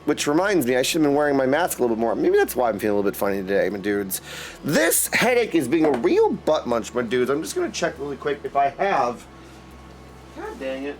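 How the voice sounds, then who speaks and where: A man's voice: medium pitch, huge pitch swings, a little fast. A man hosting a casual livestream, chatting effusively with viewers, often exclaiming and calling them dudes. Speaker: live streamer and host.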